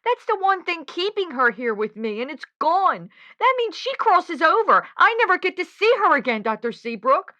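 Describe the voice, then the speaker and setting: Woman acting earnest lovesick caller gal in audio drama, hesitant, very animated pitch, close dry sound. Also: moderate pace, hardly any pauses, no echo, dull audio, heavily acted. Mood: distressed, pleading. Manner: hesitant, emotionally open. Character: earnest, lovelorn female librarian, anxious and hesitant. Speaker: radio show caller.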